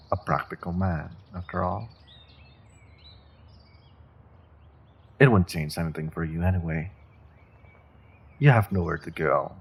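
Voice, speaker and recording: Man acting slow, gravelly, menacing sorcerer. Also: exaggerated voice. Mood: cold menace. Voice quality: deep, gravelly, husky. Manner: slow, condescending, quietly threatening. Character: menacing evil male sorcerer, smug, mock-kind captor. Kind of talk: fantasy audio roleplay monologue.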